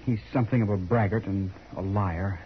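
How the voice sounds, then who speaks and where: A man's voice: fairly low pitch, fairly even intonation, moderate pace, close to an everyday voice. A man acting an earnest, resigned young rancher's son in a 1950s radio western, speaking politely with an American accent. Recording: dull, noisy vintage radio recording.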